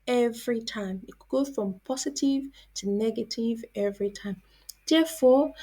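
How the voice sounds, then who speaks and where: Woman science teacher, higher voice with a slightly husky edge, deliberate scripted explainer delivery. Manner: deliberate, explanatory, poses questions then answers them. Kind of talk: scripted educational narration.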